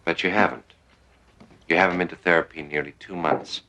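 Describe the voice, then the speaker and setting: An actor playing a calm, patient male psychiatrist in a television drama, speaking gently and a little hesitantly as he probes. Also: sits low, brisk. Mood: friendly, gentle. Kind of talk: naturalistic television drama dialogue.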